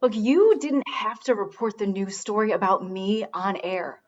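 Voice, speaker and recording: A woman's voice: mid-range voice, unhurried, lightly acted. A woman playing a sarcastic, defensive radio-show boss in a naturalistic comedy drama, recorded in dull lo-fi sound. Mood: combative. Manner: sarcastic, confrontational.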